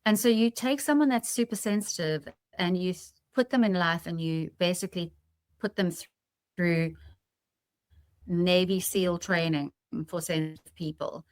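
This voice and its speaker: A woman's voice: lowish voice, normal speed, no echo. A woman hosting a podcast in a conversational, reflective way, explaining her thoughts to listeners. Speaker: podcast host.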